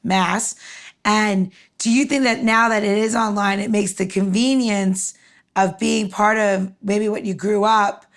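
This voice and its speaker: A woman's voice: medium pitch, flat delivery, unhurried. A woman co-hosting a podcast, asking questions casually in rambling, circling phrases. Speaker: podcast co-host.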